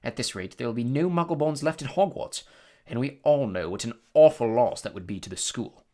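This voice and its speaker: A man voicing a cold, smug aristocratic storybook villain with a smooth, lazy, condescending delivery. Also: medium pitch, animated, brisk, warm tone, natural voice.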